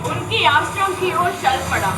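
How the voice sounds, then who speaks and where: A woman's voice: extremely high pitch, animated, unhurried. A woman delivering scripted dramatic narration for a school stage play. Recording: echoey room with loud music.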